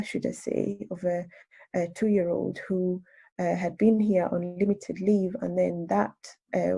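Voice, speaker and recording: A woman speaking in a reflective radio discussion, thoughtful and halting, often repeating words as she searches for her phrasing. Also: fairly low pitch, creaky, mellow, slightly rough.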